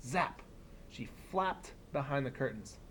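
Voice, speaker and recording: A man teaching young children, reading a picture book aloud expressively and pausing for simple explanatory asides. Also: mid-range voice, lively intonation, a bit bright, a little hiss or hum. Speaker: elementary school teacher.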